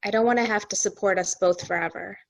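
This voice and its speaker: A woman's voice: medium pitch, quick pace, close to an everyday voice. A woman reading the part of a guarded, busy lawyer wife, curt and questioning, in a TV drama table read. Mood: guarded, dismissive.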